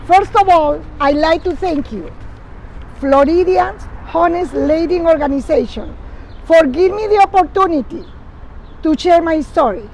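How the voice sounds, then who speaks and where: Older woman, Peruvian accent, high-pitched press conference speaker, recorded outdoors with faint traffic behind. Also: animated, a little slow, a little mellow, poor audio. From Peru. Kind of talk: prepared public testimony.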